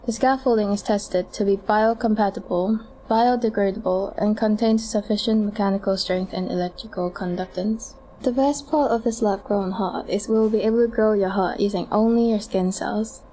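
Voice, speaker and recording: A woman's voice: medium pitch, steady tone, moderate pace, hardly any pauses, warm tone, a little hiss or hum, lightly acted, low-quality recording. A woman playing a polite clinic doctor who explains treatment options in a scripted amateur audio drama. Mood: polite, explanatory.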